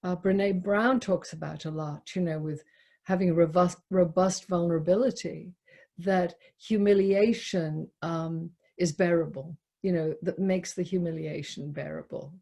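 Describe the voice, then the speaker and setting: A woman's voice: lowish voice, steady tone, unhurried, vocal fry, dark tone. A woman leading a reflective discussion with an audience, speaking hesitantly and repeating words and phrases for emphasis.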